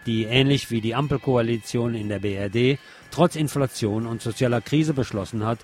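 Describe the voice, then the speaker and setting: A man's voice: medium pitch, moderate pace, a little mellow. A man presenting radio news, reading from a script in German news style.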